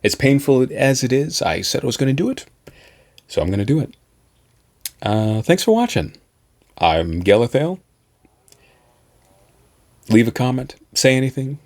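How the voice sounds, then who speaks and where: A man reading aloud and chatting as an amateur host, halting and self-deprecating in manner. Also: mid-range voice, big pitch swings, a little mellow. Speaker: amateur audiobook reader and channel host. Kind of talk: narrated novel reading and casual vlog chat.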